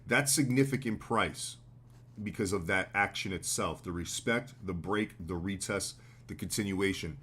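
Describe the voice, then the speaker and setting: An American man with a slightly hoarse voice, hosting a live trading stream in a relaxed, slangy way. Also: sits low, moderate pace, a little hiss or hum. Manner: relaxed, loose, slangy explaining with fillers. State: slightly hoarse, getting sick. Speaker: trading livestream host. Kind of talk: casual live market commentary.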